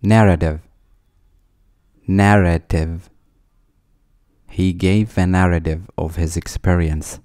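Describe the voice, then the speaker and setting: A man with a low, mellow voice reads a vocabulary list at a very slow, deliberate pace. He says each word twice, then reads an example sentence. The sound is dry and close. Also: steady tone, no room sound. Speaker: vocabulary reader.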